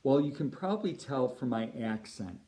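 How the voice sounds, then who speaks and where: A middle-aged man with a Californian American accent, a priest giving a prepared appeal talk in measured, deliberate phrases. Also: mid-range voice, expressive, mellow. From United States (Chicago and Southern California).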